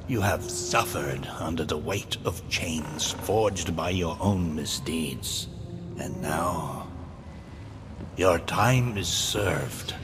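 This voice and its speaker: Game role: grave spectral ferryman of the dead, low-pitched, theatrical, cavernous reverb. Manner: grave, portentous, archaic formal diction. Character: solemn ghostly ferryman captain of the dead. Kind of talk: theatrical video game character acting. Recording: long cavernous reverb, music behind. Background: game music.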